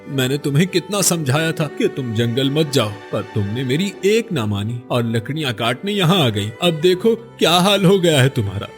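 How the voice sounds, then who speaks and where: A man's voice: mid-range voice, natural voice. A man playing a poor, anguished woodcutter in a cartoon story, melodramatic and emphatic. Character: poor woodcutter, anguished, vengeful grieving husband. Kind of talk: Hindi cartoon story voice acting.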